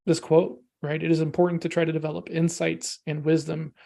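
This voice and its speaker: American man guest, gravelly, monotone delivery, chatty and energetic. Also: on the high side, a little fast. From United States. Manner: energetic, rambling, peppers talk with "right?" and "you know". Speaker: podcast guest. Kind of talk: casual discussion.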